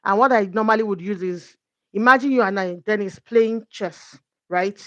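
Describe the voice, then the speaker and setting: A woman's voice: medium pitch, normal speed, no echo, muffled recording. A woman speaking as a guest coach in a conversational faith talk, explaining her points earnestly through examples.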